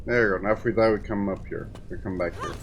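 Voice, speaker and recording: A man's voice: on the low side, fairly even intonation, quick pace, noisy background. A man with a lisp giving casual, wryly sarcastic commentary as he plays a video game for a live chat. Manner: wry, sarcastic grumbling.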